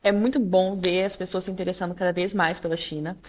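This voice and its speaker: A woman's voice: lowish voice, little pitch movement, fast. Candid young woman from Minas Gerais. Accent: Minas Gerais Portuguese. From Brazil, Minas Gerais. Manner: reflective, candid storytelling with frequent "é" fillers and laughs. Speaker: guest, law graduate student. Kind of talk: casual interview chat.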